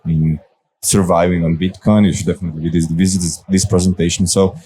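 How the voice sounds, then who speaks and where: A man speaking quietly on a conference panel, often repeating words as he goes. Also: deep voice, steady tone, quick pace, a little hiss or hum. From Slovakia. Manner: soft-spoken, repeats words. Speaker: panelist, company founder. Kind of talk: unscripted panel talk. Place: conference stage.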